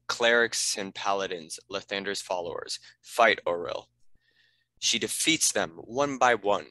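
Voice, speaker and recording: A man's voice: lowish voice, sharp tone, no room sound, low-quality recording. A man narrating the action and stage directions of a script.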